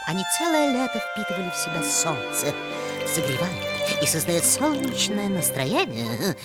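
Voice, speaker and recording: A woman's voice: lowish voice, big pitch swings, normal speed. A woman voicing a caring, fussy older female owl in a cartoon. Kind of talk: animated cartoon acting. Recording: loud music behind the voice.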